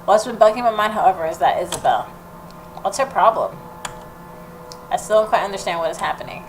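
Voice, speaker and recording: A woman hosting a gaming video, reading the story narration aloud and adding expressive reactions of her own. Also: medium pitch, brisk, low-quality recording. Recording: noticeable background noise.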